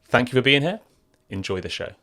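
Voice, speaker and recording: A man's voice: mid-range voice, sing-song, a little fast, bright, forceful tone, no room sound, studio quality. A British man from the South West of England hosting an interview, chatty and stumbling over his questions. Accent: British English. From Bath, South West England. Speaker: podcast host. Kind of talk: casual interview chat.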